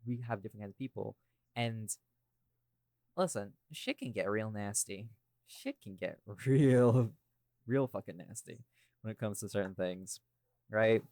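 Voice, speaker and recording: A man's voice: lowish voice, a little mellow, no echo, studio quality. A man in a casual podcast chat as a creator and co-host, speaking informally with some swearing and tag questions.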